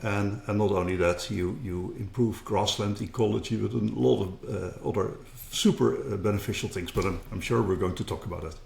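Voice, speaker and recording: Middle-aged dutch guy, gravelly voice, earnest interview chat, echoey room. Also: lowish voice, a little fast, few pauses, darkish tone. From Netherlands. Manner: earnest, repeats words when starting a thought. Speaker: guest, company co-founder.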